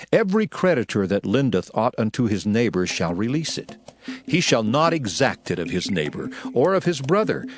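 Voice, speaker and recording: A man giving a solemn, declamatory dramatized reading of scripture in measured phrases. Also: mid-range voice, no room sound, studio quality. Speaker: Bible narrator. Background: background music.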